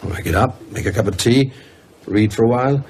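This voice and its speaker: A man's voice: on the low side, fairly quick, a little mellow, slight background noise, lightly acted. A man playing an anxious, evasive English university academic in a radio drama, hesitant, stammering and apologetic. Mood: uneasy, weary.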